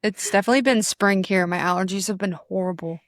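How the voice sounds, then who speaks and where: A woman's voice: medium pitch, fast, no room sound, studio quality. A woman co-hosting a podcast, asking curious questions in casual chat. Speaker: podcast co-host.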